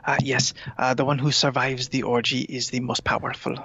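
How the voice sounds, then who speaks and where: A man voicing a haughty male talking cat with a slow, formal delivery. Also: mid-range voice, level tone, creaky, pushed and bright, husky, heavily acted. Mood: solemn. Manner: slow formal delivery, speaks of cats as superior. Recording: dull lo-fi sound.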